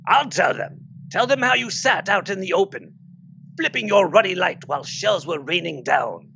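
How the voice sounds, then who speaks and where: Man acting a creaky, dying British soldier, muffled noisy audio. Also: high-pitched, animated, moderate pace, sharp tone, heavily acted. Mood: gruff, teasing. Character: dying, bitter wounded British soldier, protective young father.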